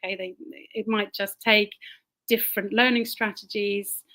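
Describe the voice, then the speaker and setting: A woman who grew up in Germany with German as her first language, a teacher giving a casual talk over a video call, chatty and quick to laugh. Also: mid-range voice, steady tone, bright, forceful tone. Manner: chatty, laughs often, repeats words while thinking. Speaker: guest speaker, teacher.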